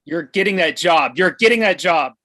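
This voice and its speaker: A man's voice: on the high side, expressive, normal speed, pushed and bright, no echo. A man playing a blunt, penny-pinching middle-aged father in a comedy script table read.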